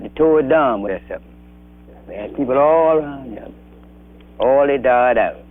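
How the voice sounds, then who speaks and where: A man with a soft South Carolina accent, reminiscing in a plainspoken way in an interview. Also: medium pitch. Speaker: local interviewee. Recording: dull 1960s film soundtrack.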